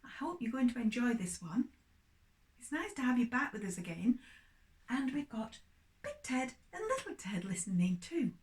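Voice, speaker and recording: A woman's voice: on the high side, sing-song, moderate pace, rough recording. An older woman telling a children's story expressively, addressing small children.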